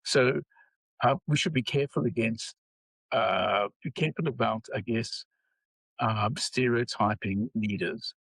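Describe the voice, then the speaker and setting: An older man with a South African accent, speaking as an interview guest in a measured way with frequent pauses. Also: mid-range voice, creaky, a bit bright, husky, no room sound, low-quality recording. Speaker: guest psychology researcher. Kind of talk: interview conversation.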